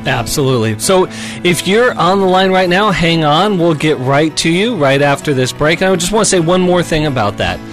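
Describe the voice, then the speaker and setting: A man's voice: on the high side, expressive, a little fast, a bit bright, faint music behind. A man hosting a call-in advice radio show, talking directly and challenging callers.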